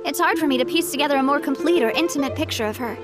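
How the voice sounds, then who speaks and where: A young woman leader voiced by an actress with a high, warm voice, resolute and upbeat with a wistful streak. It is video game character acting, with clear music playing behind. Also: very fast, natural voice. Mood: wistful, reflective.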